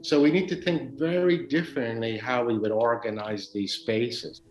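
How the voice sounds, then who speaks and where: A man gives measured, explanatory remarks as an expert in a documentary interview. His voice sits at a middle pitch, and it echoes around a roomy space. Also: a little mellow, faint music behind. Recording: roomy echo.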